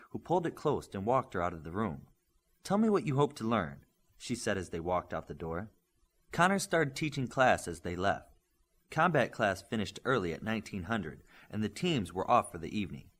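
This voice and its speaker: A man's voice: fairly low pitch, sing-song, brisk, fairly bright tone. A man reading scripted fiction as an audiobook narrator.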